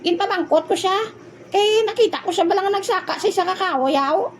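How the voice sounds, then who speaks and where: Woman voicing a very high-pitched silly, cheeky boy. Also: brisk, slight background noise. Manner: playful, full of questioning interjections. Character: silly boy joker Noy Noy, cheeky and simple. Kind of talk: comic audio drama acting.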